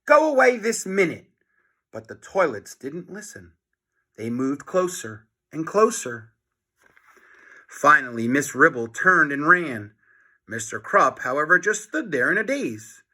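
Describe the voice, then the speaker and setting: A man reading a children's book aloud in an expressive, dramatic narration, with asides to young listeners. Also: a little high, a little slow.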